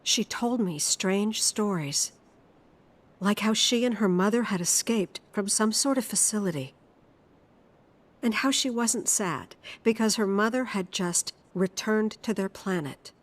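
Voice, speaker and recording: An actress playing a kindly, wistful middle-aged widowed mother, telling her story softly in a game cutscene. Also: medium pitch, unhurried, close to an everyday voice. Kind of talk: dramatic game cutscene narration.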